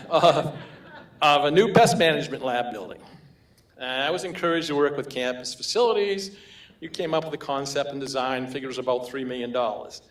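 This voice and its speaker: An older man with a Maine accent giving a humorous award acceptance speech, telling anecdotes with chuckles. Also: medium pitch. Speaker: award recipient. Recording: roomy hall echo.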